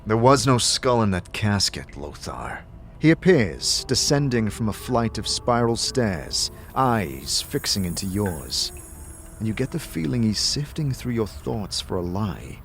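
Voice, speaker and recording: A man giving grave, expressive dramatic narration of a fantasy story. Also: fairly low pitch, a little slow, gravelly. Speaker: narrator, also voicing the player character. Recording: music behind the voice.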